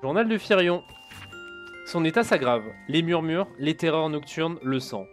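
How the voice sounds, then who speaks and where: Man, gaming commentary, reads on-screen text aloud, jokey, soft background music. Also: on the high side, unhurried. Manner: joking, reads in-game text aloud, laughs often. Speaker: gaming streamer. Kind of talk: casual let's-play commentary.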